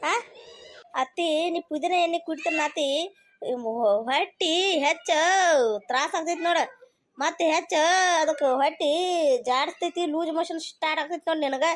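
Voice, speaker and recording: A woman's voice: sits high, moderate pace, long pauses, lightly acted. A woman voicing a cheeky, know-it-all young daughter-in-law in a cartoon comedy, explaining and nagging teasingly with a North Karnataka accent. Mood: nagging, warning.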